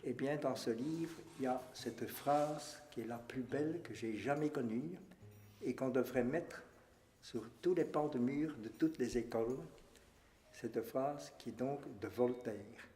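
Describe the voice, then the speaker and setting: A man, giving a measured and earnest personal testimony as a witness. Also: mid-range voice, warm tone, faint music behind. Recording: echoey room.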